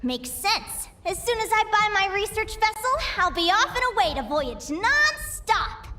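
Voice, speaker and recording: A woman's voice: very high-pitched, sing-song, a little slow, sharp tone, slight background noise, heavily acted. A woman voicing a young adventurer in a game cutscene, eager and full of wonder as she talks to herself. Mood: curious. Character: young woman adventurer dreaming of owning a ship.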